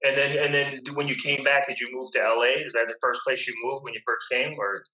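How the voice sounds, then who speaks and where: Male podcast host, very bright tense voice, echoey room, lo-fi. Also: mid-range voice, level tone, a little fast. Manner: curious follow-up questions, repeats himself. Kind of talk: interview hosting. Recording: echoey room, dull lo-fi sound.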